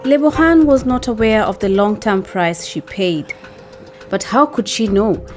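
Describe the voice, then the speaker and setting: A woman reading scripted documentary narration in a measured, composed way. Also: medium pitch, a little mellow, faint music behind.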